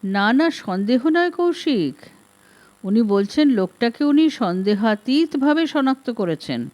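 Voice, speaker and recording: A woman reading an audiobook as a composed, firm wife who talks in a calm, matter-of-fact way. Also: a little high, a little slow, highs cut off. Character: composed, firm wife managing her drinking husband. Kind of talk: audiobook detective story reading.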